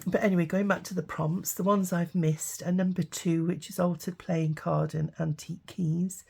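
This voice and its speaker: Croaky low-voiced woman with a cold. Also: a little mellow. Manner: rambling, lots of ums and restarts, apologetic. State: has a cold, croaky with a sore throat. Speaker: craft vlogger. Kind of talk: casual craft tutorial chat.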